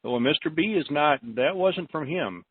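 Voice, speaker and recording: A man's voice: fairly high pitch, expressive, normal speed, husky, dry recording. American man hosting on a tinny phone line. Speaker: host of a conference call. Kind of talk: informal talk to callers on an investment conference call. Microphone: telephone.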